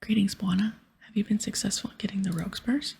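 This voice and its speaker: A woman hosts a gaming session in a very breathy ASMR whisper. Her voice has a creaky edge and sits at an ordinary female pitch. She muses softly and casually as she plays, sometimes reading game text aloud. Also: fairly even intonation, quick pace. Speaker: host of an ASMR gaming series.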